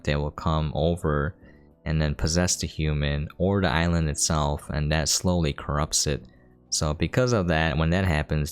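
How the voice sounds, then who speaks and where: Deep-voiced man doing casual game commentary. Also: clean tone. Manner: informal, offhand, mildly sweary. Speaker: video game streamer and reviewer. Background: soft game music.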